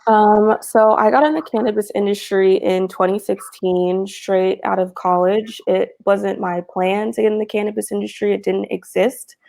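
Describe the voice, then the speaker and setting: A woman's voice: mid-range voice, fairly even intonation, moderate pace, gravelly, few pauses, dark tone. A young woman from Texas talking casually on a video-call panel, chatty with frequent fillers. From Houston, Texas. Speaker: cannabis marketing panelist.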